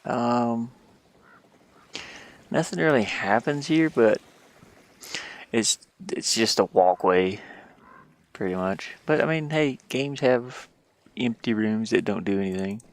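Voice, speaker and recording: Slow, gravelly voice talking while working. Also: medium pitch, animated. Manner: murmuring to self, halting. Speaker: indie game developer. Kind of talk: casual screen-share chat while working. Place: inside a van.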